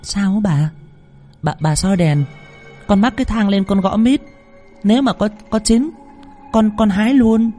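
A man voicing a young servant girl who is panicky and pushy, in a high strained voice. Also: unhurried, pauses often, dark tone, soft background music. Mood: eager.

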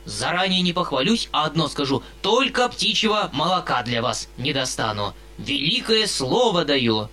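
A man's voice: fairly high pitch, sing-song, moderate pace, few pauses, sharp tone. A man reading an audiobook, voicing a hearty, fawning fat country landowner who blusters effusively.

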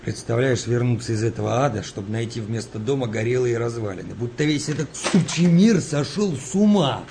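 An actor playing a steady, protective, plain-spoken wartime sailor in a Russian radio drama. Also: male voice, medium pitch, brisk, gravelly, few pauses, a little hiss or hum. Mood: weary, grim. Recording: music behind the voice.

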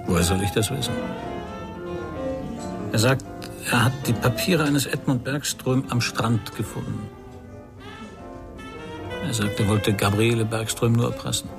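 Gravelly, probing investigator. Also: male voice, mid-range voice, unhurried, long pauses, over music. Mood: pensive. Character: probing, sceptical, thoughtful male investigator. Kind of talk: radio crime drama acting with narration.

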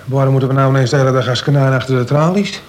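A man's voice: medium pitch, steady tone, rapid-fire, darkish tone, close to an everyday voice. An actor in a radio play, a man playing a grumbling, impatient prisoner. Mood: indignant, mocking. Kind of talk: Dutch radio play acting. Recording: noisy old radio drama recording.

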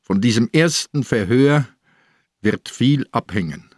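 Slow-spoken, shrewd old police commissioner. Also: male voice, mid-range voice, lively intonation, dry recording, studio quality. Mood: shrewd, resolute. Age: older. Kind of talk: radio drama acting.

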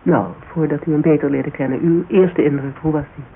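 An actor playing a polite, probing and discreet interviewer in a Dutch radio drama, asking questions in a formal, measured way. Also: male voice, fairly high pitch, fairly even intonation, close to an everyday voice. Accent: Dutch, Netherlands. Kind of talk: naturalistic radio drama dialogue. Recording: narrow, hissy old radio recording.

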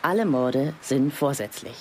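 Brief: actor as male forensic investigator, dry and factual, mid-pitched, radio play, faint scene ambience. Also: lively intonation, unhurried, a little mellow, a little hiss or hum, natural voice, poor audio. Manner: matter-of-fact, dry. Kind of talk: radio drama acting.

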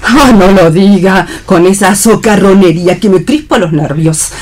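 A woman's voice: medium pitch, normal speed, a little mellow, lightly acted. A woman playing an indignant, snobbish, protective mother in a radio play reading.

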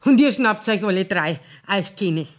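Radio play: actress as shrewd, blunt Bavarian mother, earthy dialect, mid-pitched voice, always after the money. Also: expressive, normal speed, lightly acted. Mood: wary, grudging. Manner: blunt, colloquial.

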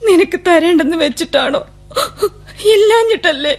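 An actress playing a young woman torn between a suitor and her family, soft and hesitant at first, later tearful and scolding. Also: very high voice, expressive, moderate pace. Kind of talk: 1970s radio drama acting.

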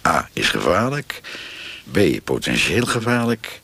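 A sanctimonious priest figure in a radio play, preaching with false comfort. The voice is very deep and heavily creaky, swinging expressively in pitch. He sounds pious and condescendingly soothing. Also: sing-song, unhurried, bright, forceful tone, exaggerated voice. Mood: preachy. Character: sanctimonious pious lay priest figure visiting a tortured prisoner.

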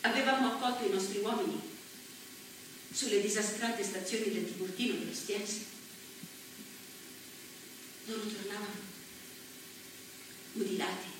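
An actress playing a woman politician recalling the postwar years in a slow, measured, solemn monologue on a stage. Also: medium pitch, steady tone, natural voice. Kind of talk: theatrical monologue. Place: theatre stage. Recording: echoey stage from far off, faint hiss.

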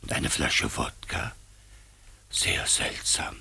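A man playing a flustered, blustering middle-aged examining magistrate in a 1950s German radio play, with a husky voice and hesitant manner. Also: slow pace, bright, forceful tone, in a whisper. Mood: uneasy. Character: flustered, grumbling older male examining magistrate. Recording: 1950s radio drama recording.

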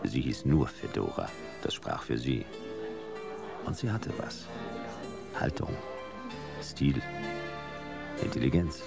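A man playing a world-weary, middle-aged private detective in a noir radio play, speaking in a dry, clipped, deadpan way. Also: rumbling low, takes it slowly, creaky, pauses often, over loud music, exaggerated voice. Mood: wry, reflective. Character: laconic, world-weary male private detective narrating his case.

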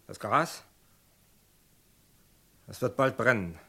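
An actor playing a grim, stubborn rancher and gunslinger in a German radio crime drama, speaking in a terse, heavy, deliberate way. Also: male voice, mid-range voice, animated, sharp tone, slightly rough, heavily acted. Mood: grim, flat.

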